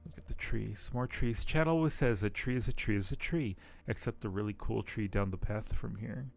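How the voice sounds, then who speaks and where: Male game streamer, fairly deep with vocal fry, chatting casually and muttering through each move. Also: normal speed, faint music behind. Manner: thinks aloud while playing. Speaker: streamer playing a point-and-click game. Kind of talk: casual livestream chat with read-aloud game text.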